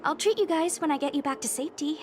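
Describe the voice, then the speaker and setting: High-voiced, earnest young soldier girl, acted by a woman. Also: fast talker, a little hiss or hum, lightly acted. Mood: apologetic, dutiful. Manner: informal, earnest, slightly hesitant. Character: friendly, earnest young female soldier, eager and protective. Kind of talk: scripted video game dialogue.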